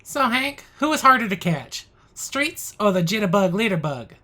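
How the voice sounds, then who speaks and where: A perky young crossing guard and girlfriend, played by a woman, speaks in a bright, forward voice of medium pitch. The delivery is that of comic audio drama. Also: big pitch swings, normal speed. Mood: chipper. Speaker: voice actor in an audio play. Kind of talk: comedic audio drama acting.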